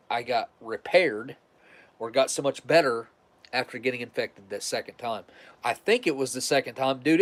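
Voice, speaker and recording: Man, congested and nasal, loose rambling host chat with lots of restarts. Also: medium pitch. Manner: rambling, restarts often, conversational. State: stuffed-up nasal voice. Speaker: livestream host. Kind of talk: casual rambling monologue.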